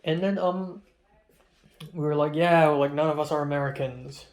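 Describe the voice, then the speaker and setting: A man's voice: on the high side, fairly even intonation, moderate pace. A young man from Hong Kong whose first language is Cantonese, rambling through a casual vlog story about college with lots of fillers and asides. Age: young adult. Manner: rambling, chatty, frequent fillers and trailing-off asides. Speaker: college student vlogger.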